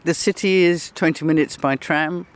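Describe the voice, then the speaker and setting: A woman's voice: low voice, fairly even intonation, normal speed. A woman in her sixties from England with an English accent, chatting as an interview guest on an outdoor bench, laughing often and poking fun at herself. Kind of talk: casual chat.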